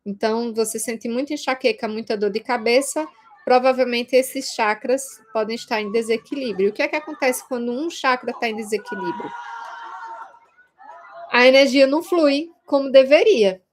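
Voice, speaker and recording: A woman's voice: a little high, moderate pace. A woman with a Brazilian Portuguese accent teaching an informal video lesson in a conversational way, often checking in with short tag questions. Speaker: Reiki course teacher.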